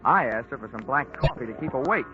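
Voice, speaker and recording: A man's voice: mid-range voice, lively intonation, quick pace. Wisecracking man narrating a detective drama. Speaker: insurance investigator and narrator. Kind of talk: 1940s radio acting. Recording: lo-fi old radio recording with music.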